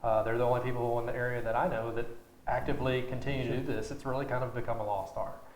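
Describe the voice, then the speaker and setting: With room echo and a faint noise behind him, a man of medium pitch talks casually in an interview. He explains things in a plain, slightly halting way. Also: flat delivery. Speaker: theater director being interviewed.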